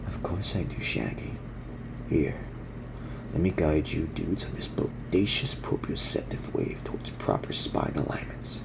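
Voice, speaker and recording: A man voicing a laid-back gorilla surfer dude who teaches in slang, in a gravelly, breathy half-whisper for an ASMR parody. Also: a bass voice, sing-song, a little slow, background noise, full character voice. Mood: laid-back, encouraging. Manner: surfer slang, soothing instructional delivery.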